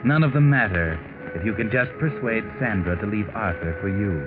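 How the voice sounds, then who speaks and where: Man playing sardonic all-knowing narrator, lowish voice, insinuating, 1940s radio mystery, tinny old recording, music underneath. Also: moderate pace, natural voice. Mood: sardonic, ominous. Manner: mocking, insinuating. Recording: band-limited 1940s radio with music behind.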